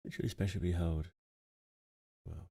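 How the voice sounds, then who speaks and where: Low-voiced man streaming casually. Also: steady tone, moderate pace, creaky, warm tone, breathy edge. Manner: reads text aloud, muses and reacts aloud. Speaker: streamer reading on-screen text and reacting. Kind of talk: casual livestream reading and commentary.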